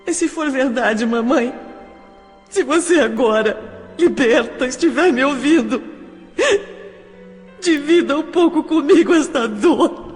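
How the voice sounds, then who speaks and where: Grieving young girl praying to dead mom, real slow n pleading, big echo, audio drama. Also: high-pitched. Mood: anguished pleading. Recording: long hall reverb, music underneath.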